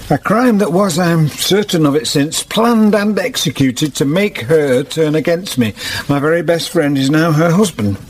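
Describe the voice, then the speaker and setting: An actor playing a solemn, wounded middle-aged former hermit, speaking gravely and reflectively in a period radio drama. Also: male voice, a little high, animated, normal speed, hardly any pauses, slight background noise, lightly acted. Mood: bitter. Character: solemn, wounded older weaver, once a hermit.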